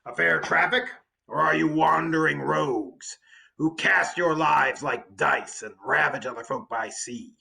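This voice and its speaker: A man's voice: a little high, a little slow, vocal fry, pushed and bright, heavily acted. Man reading as a menacing, challenging giant Cyclops. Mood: suspicious, menacing. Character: mighty one-eyed giant Cyclops, gruff and menacing storybook monster.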